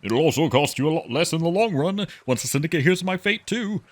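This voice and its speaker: A man's voice: fairly high pitch, animated, a little fast. An actor playing a nervous, self-important wealthy man on the run, stammering and bargaining formally in a sci-fi audio drama. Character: nervous self-important wealthy male fugitive pleading for his life.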